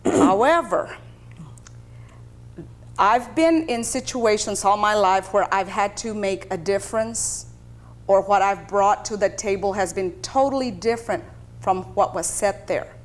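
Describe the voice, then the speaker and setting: A woman from South Texas, a native Spanish speaker and bilingual teacher, recalling her life as an interview guest in a measured, deliberate way. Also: mid-range voice. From South Texas, United States. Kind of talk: personal recollection in an interview.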